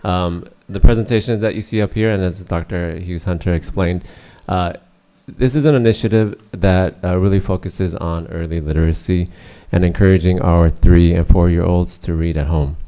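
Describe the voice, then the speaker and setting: Middle-aged man, deep and mellow, monotone, hesitant; presenting at a board meeting. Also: moderate pace, few pauses, clear, resonant tone. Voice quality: monotone, many uh fillers. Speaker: school district presenter.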